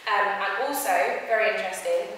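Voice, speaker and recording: A woman's voice: mid-range voice, steady tone, fairly quick, a bit bright. A woman moderating a panel, chatty and reading out statistics. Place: large hall with audience. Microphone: far room camera. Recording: echoey hall from far off, noisy.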